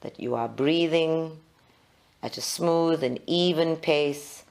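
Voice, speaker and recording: Very slow meditation guide. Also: mid-range voice, vocal fry. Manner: measured, instructional, with short phrases and long pauses.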